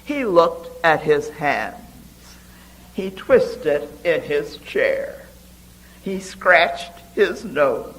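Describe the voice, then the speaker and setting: A man giving a dramatic poetry reading, deliberate and expressive, with emphatic pauses. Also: a little high, low-quality recording.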